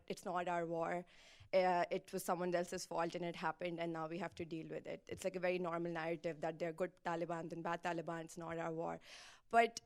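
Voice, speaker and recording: A woman's voice: lowish voice, clean tone. A young woman from Pakistan asking an earnest, fluent question from the audience at a public forum. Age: young adult.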